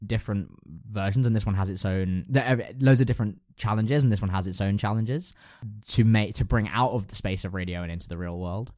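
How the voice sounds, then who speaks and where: A male podcast host with a fairly low voice, recorded very dry. He talks casually and explains things in a rambling, enthusiastic way, as in an informal chat about plays. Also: darkish tone, no echo.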